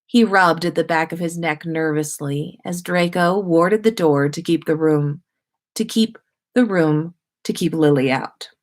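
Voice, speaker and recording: Woman reading a story aloud, slightly low voice. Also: a little slow. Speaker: host reading listeners' stories on a livestream.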